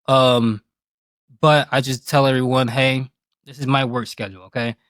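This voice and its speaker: A man's voice: medium pitch, level tone, normal speed, fairly bright tone, dry recording, clean studio sound. A man speaking as an interview guest about himself in a candid, reflective, colloquial way. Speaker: guest, game streamer. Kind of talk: casual interview conversation.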